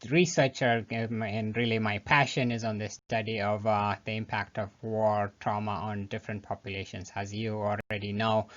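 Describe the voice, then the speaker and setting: Low-voiced East African man, muffled audio. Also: flat delivery, a bit bright. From South Sudan, grew up in Ethiopia and Kenya. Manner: halting, frequent hesitations and restarts. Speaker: epidemiologist, researcher presenting. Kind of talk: informal slide presentation.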